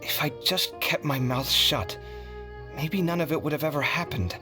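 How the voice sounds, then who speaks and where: A man playing an earnest, soft-hearted young male scholar in a video game, speaking in a sorrowful, reflective way. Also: a little high, normal speed, music in the background, close to an everyday voice. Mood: wistful, regretful. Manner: reflective storytelling.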